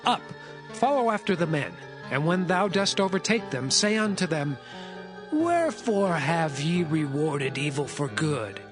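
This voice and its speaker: An actor playing a solemn, commanding Egyptian governor with a tender side in a dramatised scripture reading. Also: male voice, fairly high pitch, sing-song, measured pace, music in the background. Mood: stern commanding.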